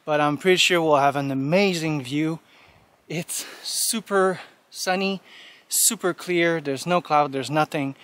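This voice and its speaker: A man's voice: fairly high pitch, a little slow, fairly bright tone. Chatty man hiking uphill. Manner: cheerful, chatty, many fillers like "you know" and "uh". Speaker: hiking vlogger. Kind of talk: casual talk to camera while hiking. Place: outdoors on a mountain trail.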